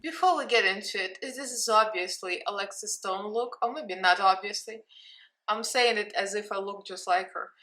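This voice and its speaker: A woman with a Russian accent chats casually while hosting a makeup tutorial. Her voice sits at a middling pitch, and she talks openly, teasing herself as she goes. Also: moderate pace, fairly bright tone, soft background music. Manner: chatty, self-mocking, candid. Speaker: makeup vlogger.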